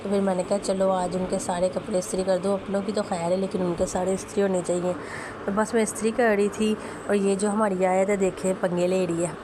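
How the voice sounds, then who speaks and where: A young woman with a sore, hoarse throat, chatting fondly as a family vlogger about her little daughter, often clearing her throat. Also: medium pitch, level tone, fairly quick. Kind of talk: casual voice-over narration. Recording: voice with clear background noise.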